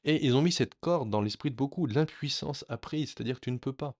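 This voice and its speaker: A man sharing a personal story in a reflective, earnest way, often pausing and restarting his sentences. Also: mid-range voice, a little mellow, no echo. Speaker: vlogger.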